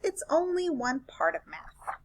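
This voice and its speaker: A woman's voice: high voice, very animated, unhurried, pitched above the performer's own voice, low-quality recording. A woman reading a children's picture book aloud, voicing a curious, cheerful space alien who explains things eagerly. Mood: eager explaining.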